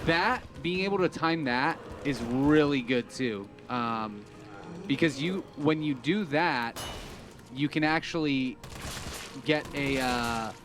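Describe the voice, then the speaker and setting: A man co-hosting a gaming stream, explaining game mechanics in a relaxed, rambling way with many fillers. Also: medium pitch, slow pace, music in the background. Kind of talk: casual gaming chat.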